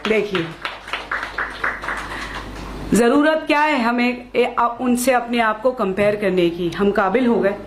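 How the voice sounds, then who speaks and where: A woman's voice: fairly high pitch, takes it slowly, pauses often, roomy sound, slight background noise. A woman from Maharashtra giving a public speech, mixing Hindi with English phrases and repeating lines for emphasis. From Maharashtra, India. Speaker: lawyer giving a speech at a function.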